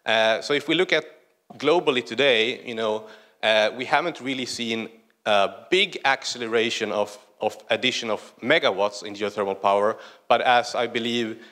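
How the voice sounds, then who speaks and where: A man's voice: medium pitch, sharp tone. Swedish-accented man giving a conference talk. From northern Sweden. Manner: conversational, with frequent "uh" pauses. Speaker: conference speaker. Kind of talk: conference presentation. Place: large conference hall stage.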